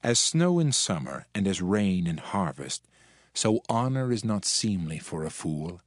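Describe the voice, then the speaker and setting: A man giving a solemn, declamatory, dramatized reading of scripture in measured phrases. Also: low voice, sing-song, no room sound, studio quality. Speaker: audio Bible narrator. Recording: music behind the voice. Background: background music.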